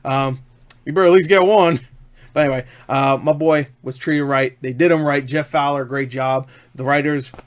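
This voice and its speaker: A man's voice: medium pitch, fairly even intonation, moderate pace. A man giving a casual, unscripted film review in an enthusiastic, emphatic, rambling way, often repeating himself.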